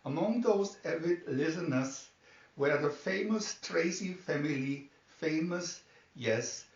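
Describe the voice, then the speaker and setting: Guy reading a storybook aloud, kinda high voice, echoey room. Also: animated, slow. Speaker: storybook narrator. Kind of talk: scripted story narration, read aloud. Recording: roomy, distant, slightly dull home recording.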